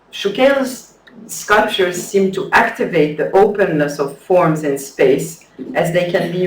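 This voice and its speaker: Careful academic man reading a paper at a slow pace, faint room noise behind. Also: on the high side. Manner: measured, careful, with some hesitant restarts. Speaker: conference speaker. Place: lecture hall. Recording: echoey hall, distant mic.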